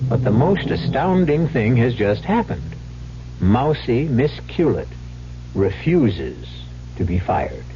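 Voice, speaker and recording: A man narrating a radio drama in a deliberate, theatrical, scripted style. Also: mid-range voice. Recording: muffled, hissy old radio broadcast.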